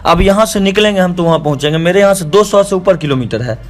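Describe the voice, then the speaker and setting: High-pitched Bihari man arguing by phone. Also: quick pace. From Bihar, India. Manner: insistent, repeats himself. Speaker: stage show artist and organiser. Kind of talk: argumentative phone conversation. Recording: recorded phone call with faint music.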